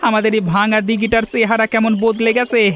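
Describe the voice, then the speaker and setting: A man voices a hopeful, grateful village husband in a cartoon, in a high and level voice. Rain pours and music plays behind him. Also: completely flat delivery, quick pace, a bit bright.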